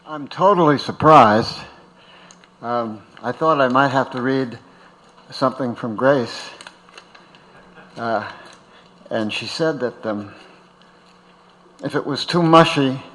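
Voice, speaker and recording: A man's voice: mid-range voice, slow pace, long pauses, fairly bright tone, slight background noise, rough recording. A man giving informal tribute remarks in a hesitant, wry, understated way. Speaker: speaker at a tribute.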